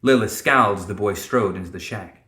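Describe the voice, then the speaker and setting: A man's voice: fairly low pitch, a little fast, sharp tone, roomy sound. A man reading fantasy fiction as a dramatic scripted narration. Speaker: audiobook narrator.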